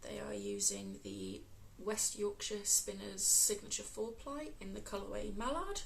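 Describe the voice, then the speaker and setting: A woman with a West Midlands accent, hosting a casual crafting podcast, croaky from a cold and filling pauses with ums. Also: mid-range voice, sing-song, slight background noise, rough recording. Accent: West Midlands English. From England. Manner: chatty, many ums, unhurried.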